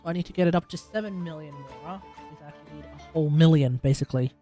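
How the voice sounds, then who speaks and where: A man's voice: fairly high pitch, rapid-fire, mellow, soft background music. An Australian man chatting casually as he hosts a live game stream. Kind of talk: casual livestream chat.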